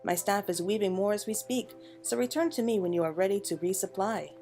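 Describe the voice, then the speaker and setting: A woman's voice: medium pitch, brisk, warm tone, faint music behind, close to an everyday voice. A woman voicing a courtly, gracious festival attendant from a fantasy game, formal and polite. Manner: formal, polite, archaic wording.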